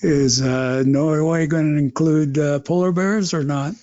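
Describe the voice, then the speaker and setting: A man's voice: a little high, steady tone, measured pace, mellow, no echo, dull audio. A male podcast host asking brief, plain questions. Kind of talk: interview questions.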